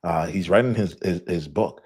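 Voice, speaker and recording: A man in middle age hosts a live chat in an extremely deep voice, heard dry and close with no room sound. He talks loosely and searches for words, circling back through fillers and restarts. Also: normal speed, a little mellow, slightly rough, no room sound. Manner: rambling with many restarts and fillers. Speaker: host. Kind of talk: casual live conversation.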